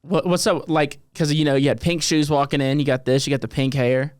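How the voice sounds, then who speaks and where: A man's voice: a little high, level tone, fairly quick, clean tone, no echo, clean studio sound. A man from Mississippi with a Southern US accent, hosting a casual chat in a laid-back, slangy, swear-heavy way. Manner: laid-back, slangy, swears a lot, calls people dude. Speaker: host.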